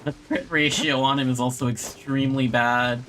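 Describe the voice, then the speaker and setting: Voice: medium pitch, flat delivery, normal speed, a bit bright, a little hiss or hum. A video game streamer giving casual live commentary, thinking aloud and muttering to themselves while playing. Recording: game music behind the voice. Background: video game music.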